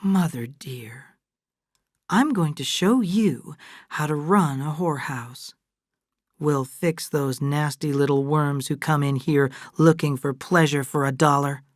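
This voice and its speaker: A woman voicing a restrained daughter with a scheming streak in an audiobook, speaking in a controlled, deliberate way. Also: deep voice, no room sound, studio quality. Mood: cold, taunting. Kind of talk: dramatised audiobook dialogue.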